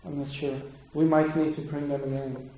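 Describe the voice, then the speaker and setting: A man from the Czech Republic, a native Czech speaker with a Czech accent, answering audience questions in a halting, casual way with many fillers and restarts. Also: a little high, little pitch movement, warm tone, dull audio. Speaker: Bible translator answering questions. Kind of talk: casual Q&A talk. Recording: very echoey hall, faint noise.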